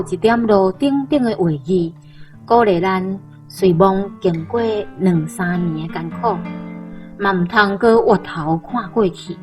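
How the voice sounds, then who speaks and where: Taiwanese woman reading over soft music. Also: medium pitch. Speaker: reader. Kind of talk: scripted radio essay reading.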